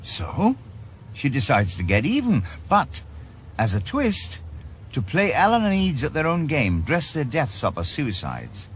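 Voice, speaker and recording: A man's voice: on the high side, wildly swooping pitch, a little slow, a little hiss or hum. A middle-aged man with a Welsh accent, playing a stubborn, sardonic retired detective with a dry, probing manner in a radio drama.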